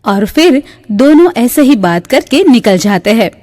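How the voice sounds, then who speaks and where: Female narrator for children's moral tales: mellow, very measured delivery, reverberant sound. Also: on the high side, sing-song. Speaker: story narrator. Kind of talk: slow scripted moral-story narration for a cartoon. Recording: long reverb.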